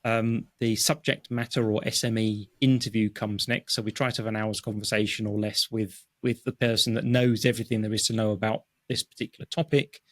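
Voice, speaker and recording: A man speaking English as his first language, a guest explaining his writing process in a relaxed interview, with many fillers and restarts. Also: lowish voice, a little fast, few pauses, no room sound. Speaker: guest, technical writer. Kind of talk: conversational interview. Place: home office.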